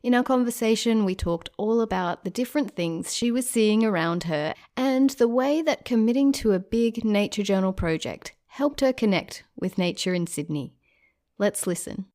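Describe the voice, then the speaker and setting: A woman's voice: medium pitch, expressive, normal speed. A woman hosting a nature podcast, reading a scripted intro, then asking a guest questions with enthusiasm. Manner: warm, enthusiastic. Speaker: podcast host.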